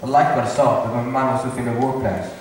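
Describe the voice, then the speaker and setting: A man's voice: mid-range voice, steady tone, quick pace, close to an everyday voice. A man acting an earnest, smitten young soldier in an amateur stage comedy. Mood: lovestruck. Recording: echoey hall, distant mic, noisy.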